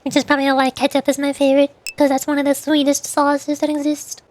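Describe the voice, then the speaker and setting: High-pitched woman chatting on a stream. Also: monotone, a little mellow. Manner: hesitant, halting, repeats words. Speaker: VTuber streamer. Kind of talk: casual gaming stream chat.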